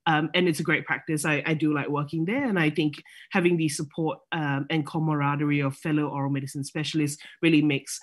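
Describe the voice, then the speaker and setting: A woman's voice: low voice, fairly even intonation, fairly quick, hardly any pauses. A woman in her thirties from Singapore, with an accent shaped by later years in Australia, giving a friendly, explanatory slide talk as a guest specialist over a video call, with frequent ums. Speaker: oral medicine specialist guest speaker. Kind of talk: online presentation with slides.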